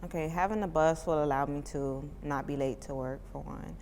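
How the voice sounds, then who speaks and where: Low-voiced young woman in a reverberant room. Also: brisk, slight background noise. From Miami. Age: 20s. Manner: chatty, many "like" fillers, relaxed informal speech. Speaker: parent giving a testimonial. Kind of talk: casual interview answers.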